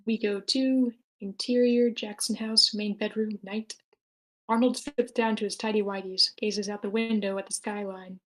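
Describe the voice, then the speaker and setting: Woman narrating screenplay action, medium pitch. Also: steady tone, normal speed, a bit bright, low-quality recording. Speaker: stage directions narrator.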